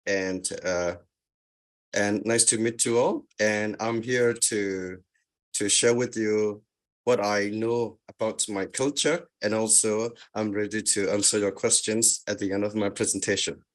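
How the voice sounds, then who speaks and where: Slow, hesitant man. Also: fairly low pitch, fairly even intonation. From Myanmar. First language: Karen. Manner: hesitant, many fillers and restarts. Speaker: guest speaker. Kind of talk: webinar presentation. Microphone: video call.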